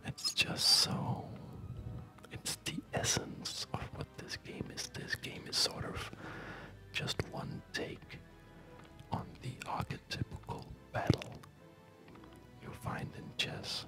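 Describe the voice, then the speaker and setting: Slow whispering man, ASMR gamer. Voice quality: soft breathy whisper. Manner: gentle, unhurried, hesitant pauses. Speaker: ASMR video creator. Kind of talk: ASMR gaming commentary. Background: music.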